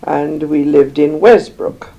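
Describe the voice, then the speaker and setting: An older woman from England, slowly recalling her life in an interview, rambling with many pauses and fillers. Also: deep voice, fairly even intonation, mellow, lo-fi sound. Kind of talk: oral history recollection.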